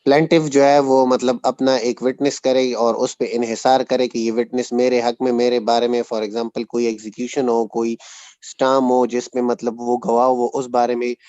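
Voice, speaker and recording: A male student gives a spontaneous, wordy answer. His voice is mellow and soft-edged, with little room sound. The recording is a bit dull. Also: medium pitch, level tone, normal speed, no echo. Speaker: law student.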